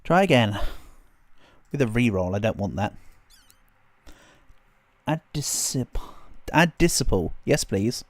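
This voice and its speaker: A man's voice: mid-range voice, sing-song, slow pace, pauses often, no echo. A man streaming a video game, chatting casually and rambling, sounding a bit congested with a cold coming on. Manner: chatty, rambling, self-deprecating. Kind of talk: casual game livestream chat.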